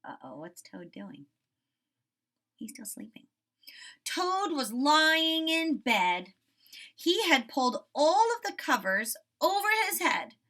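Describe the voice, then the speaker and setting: Female primary teacher: bright, fairly high voice, emphatic and instructive, a little off-mic. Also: very animated, slow, distant mic. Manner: instructive, emphatic key words, frequent check-in "right?". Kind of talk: children's lesson and storybook read-aloud.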